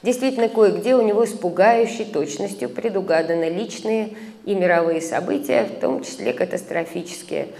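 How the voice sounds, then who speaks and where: A woman's voice: mid-range voice, creaky, warm tone. Woman reading a paper in an echoing hall. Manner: measured reading with hesitant fillers, recites verse. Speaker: conference speaker, translator. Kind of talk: reading an academic paper aloud. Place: conference hall, indoors. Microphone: stand microphone at a lectern. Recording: reverberant hall, faint background noise.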